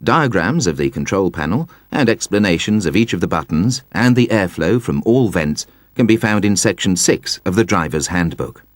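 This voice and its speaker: A man with a very deep voice narrates a scripted instructional video. His pitch rises and falls in a lively way. He sounds measured and precise, and the recording is dry and close. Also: no echo. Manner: measured, precise, explanatory delivery.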